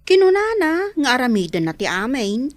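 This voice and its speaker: A woman with an Ilocano accent playing a nosy, kindly household confidante in a radio drama, prying and expressive. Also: high-pitched, normal speed, no room sound. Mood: coaxing.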